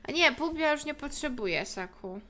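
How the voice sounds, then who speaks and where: A woman's voice: high-pitched, expressive, fairly quick, pushed and bright. A woman with a Polish accent chatting casually on a gaming livestream, reacting aloud in an informal way. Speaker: livestreamer playing a game. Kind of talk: casual gaming stream chat.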